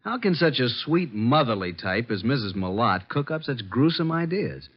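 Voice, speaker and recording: A breezy young detective's sidekick from old radio mystery. He speaks in a very animated, wisecracking way at a middling pitch. The vintage recording sounds dull. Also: normal speed. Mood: puzzled, respectful. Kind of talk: 1950s radio drama acting.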